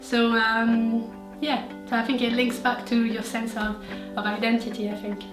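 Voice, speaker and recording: A woman's voice: on the high side, little pitch movement, measured pace. A woman in her forties with a French accent, thoughtfully recalling her experiences as an interviewee and often restarting her sentences. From France. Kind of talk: personal reflection. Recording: roomy, distant mic, music behind.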